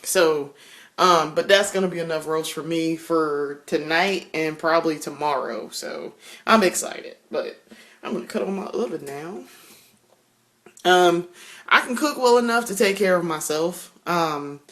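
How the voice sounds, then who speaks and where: A woman's voice: low-pitched, measured pace, a bit bright. A young woman from South Carolina with a Southern drawl, chatting casually in her kitchen while she cooks, laughing often and swearing freely. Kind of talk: casual vlog chat while cooking. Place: home kitchen.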